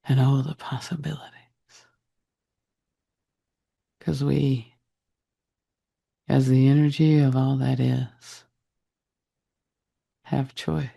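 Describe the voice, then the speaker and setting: A man leading a guided meditation in a soft, breathy, husky voice, speaking deliberately in short phrases with long pauses. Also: medium pitch, little pitch movement. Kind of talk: guided meditation, slow soothing narration.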